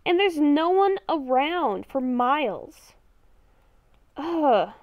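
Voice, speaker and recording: A woman plays a lost young werewolf-vampire hybrid girl in a video game roleplay. Her voice is high and swings widely in pitch. She talks slowly and haltingly, dramatically puzzling things out aloud in exasperation. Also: sing-song, no echo, lo-fi sound, heavily acted. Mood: anxious, exasperated. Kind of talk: improvised Minecraft roleplay narration while playing.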